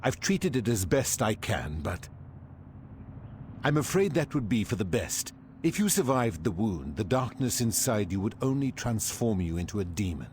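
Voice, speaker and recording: An actor playing a calm, gentle male healer in a game cutscene, speaking slowly in a soothing, measured way. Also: fairly low pitch, a little hiss or hum. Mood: gentle, solemn. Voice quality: slow, soothing, measured delivery.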